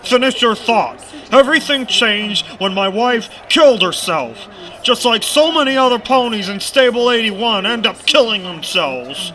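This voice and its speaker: A man's voice: very high-pitched, animated, a little slow, sharp tone, heavily acted, poor audio. A man acting in an audio drama as a bitter, menacing pony rebel leader, theatrical and taunting.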